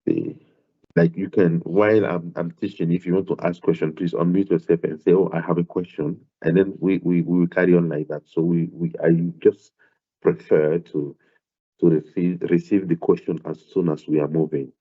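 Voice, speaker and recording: A man with a very low voice and a non-native accent lectures an online maths class. He talks hesitantly, repeating words and filling pauses as he goes. The sound is dull and muffled, like a laptop mic on a video call. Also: steady tone. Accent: non-South African, foreign to English. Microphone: computer mic on a video call.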